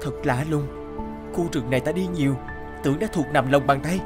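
An actor playing an earnest, easily startled young woodcutter who exclaims and wonders aloud in a children's fairy-tale cartoon. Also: male voice, on the high side, a little fast, over music, close to an everyday voice. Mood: puzzled.

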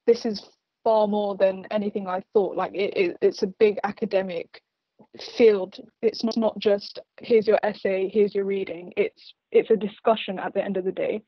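Young woman, flat-voiced, dull webcam sound. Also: mid-range voice, no room sound. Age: young adult. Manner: hesitant, many "um" and "you know". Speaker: history student panelist. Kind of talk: casual chat on video call. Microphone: laptop webcam on video call. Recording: dull, dry webcam audio.

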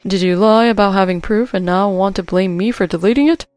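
A woman's voice: mid-range voice, fairly quick, darkish tone, no room sound, soft background music, close to an everyday voice. A woman voicing a weaselly, defensive male store manager with a blustering, evasive manner in a story.

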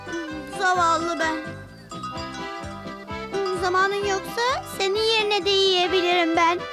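An actor playing a hungry, bossy young goatherd boy in a dubbed cartoon, grumbling and urgent in a very high voice. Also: fairly even intonation, slow pace. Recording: distant voice under loud music.

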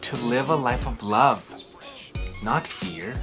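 Theatrical man with highly varied intonation. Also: takes it slowly, over music. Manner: theatrical, expressive. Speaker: children's book reviewer and host. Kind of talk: storybook read-aloud and review to camera. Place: small furnished room at home, indoors.

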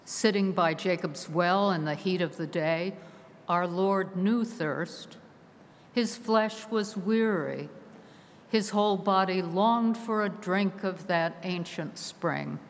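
A woman preaching a solemn sermon from a pulpit, measured and slow, her phrases sinking at the ends. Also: lowish voice, a little hiss or hum. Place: large stone church, from a pulpit. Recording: long church reverb heard from afar.

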